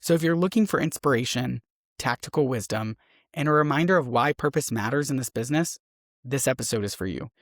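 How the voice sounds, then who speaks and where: A man's voice: mid-range voice, expressive, fairly quick, no room sound. Upbeat man hosting a podcast, dry sound. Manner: upbeat, enthusiastic interviewer. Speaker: podcast host. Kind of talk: scripted intro then casual interview.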